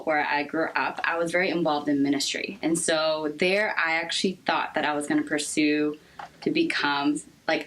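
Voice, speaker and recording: A young woman from Seattle, a therapist answering interview questions earnestly, with frequent ums and pauses. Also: low-pitched, a bit bright, poor audio. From Seattle, Washington. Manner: hesitant, many ums, earnest. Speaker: guest therapist.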